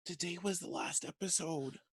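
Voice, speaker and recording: A woman's voice: lowish voice, reverberant, lightly acted. A woman voicing a naive, innocent puppet sidekick in a children's puppet show. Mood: puzzled. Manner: innocent, questioning, unhurried. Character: naive, plain-spoken puppet sidekick Number Four.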